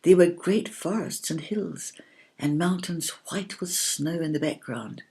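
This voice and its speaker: A woman voicing a kindly, patient female fairy who tells a story in a measured, lilting way. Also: fairly low pitch, a little mellow, husky. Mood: storytelling.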